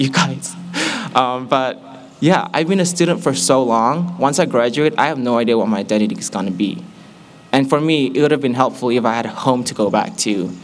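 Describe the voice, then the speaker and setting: Young man, medium pitch, heartfelt testimony to peers, candid with joking asides, faint ambience behind. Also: slight background noise. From Malaysia. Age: young adult. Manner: earnest, candid, with playful asides to the audience. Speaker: student giving a testimony. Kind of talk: personal testimony to a group.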